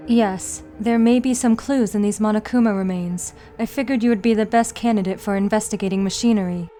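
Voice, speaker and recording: A woman voicing a composed, analytical teenage girl detective in a fan dub, speaking in a measured, formal and deliberate way. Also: mid-range voice, fairly even intonation, mellow, soft background music, close to an everyday voice. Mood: composed, serious. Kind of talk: anime-style fan dub acting.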